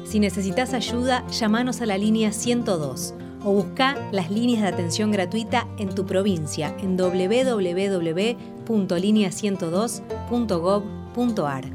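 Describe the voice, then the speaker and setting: A woman with an Argentine accent reading a public service announcement in a calm, scripted way. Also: medium pitch, measured pace, music in the background. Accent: Argentine Spanish.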